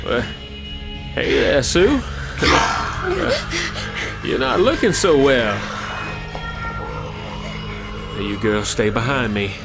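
An actor playing a folksy, gritty older trucker, drawling wryly in an audio drama. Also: male voice, mid-range voice, very animated, takes it slowly, over loud music, rough recording. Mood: tense, defiant. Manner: folksy, drawling storyteller, wry. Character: gritty, folksy older male trucker protecting two young women.

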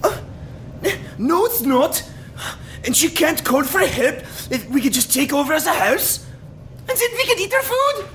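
Greedy whiny boy voiced by a woman, bossy and mocking, high and swooping, comedic audio drama. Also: sing-song, unhurried, slight background noise. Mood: bickering. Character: greedy selfish young boy, Hansel.

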